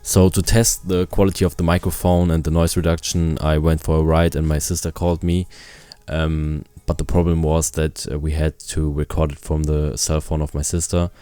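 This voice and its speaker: A man giving a casual product review, explaining things in simple, halting phrases. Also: a bass voice, flat delivery, mellow, clear, resonant tone, dry recording, soft background music.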